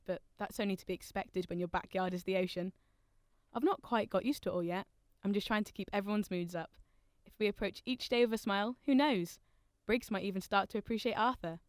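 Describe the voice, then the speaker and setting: An actress playing a friendly, earnest female research assistant on a deep-sea mission in a radio drama, chatty in manner. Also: dry recording, studio quality, close to an everyday voice.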